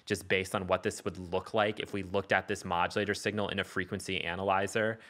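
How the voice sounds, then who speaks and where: A man narrating a casual software tutorial as a music production tutor, explaining step by step and often checking in with the listener. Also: deep voice, flat delivery, a little fast. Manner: explanatory, checks in with "okay" and "all right".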